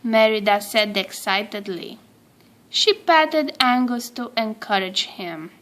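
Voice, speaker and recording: A woman reading a children's story aloud in an expressive storytelling manner. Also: mid-range voice, takes it slowly. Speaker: storybook reader.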